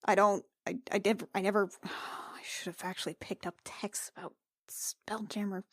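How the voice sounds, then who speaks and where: A woman playing an anxious young medic in a tabletop game, halting and stammering, repeating her words. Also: mid-range voice, little pitch movement, no echo, clean studio sound, close to an everyday voice. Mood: flustered worry. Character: rattled, anxious young woman medic and ship's crew member.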